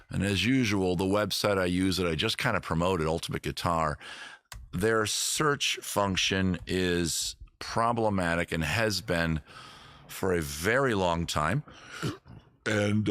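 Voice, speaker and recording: A man's voice: low-pitched, unhurried, a bit bright, dry recording. Rambling, joking male stream host. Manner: rambling, joking asides to viewers; self-deprecating about his own singing. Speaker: livestream host and musician. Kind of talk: casual chat with viewers between songs. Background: his own acoustic guitar playing.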